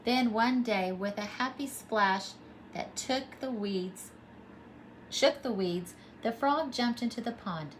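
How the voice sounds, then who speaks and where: High-voiced, unhurried woman teacher reading. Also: a little hiss or hum, rough recording. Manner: expressive, explains and asks simply for children. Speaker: pre-K teacher. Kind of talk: storybook read-aloud for young children.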